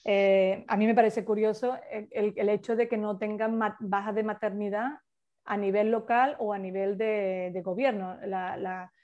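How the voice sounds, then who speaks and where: A woman's voice: medium pitch, steady tone, normal speed, darkish tone, highs cut off. A woman leading a workshop, explaining at length in an informal way, with many hesitations and restarts, over a video call. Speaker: workshop facilitator.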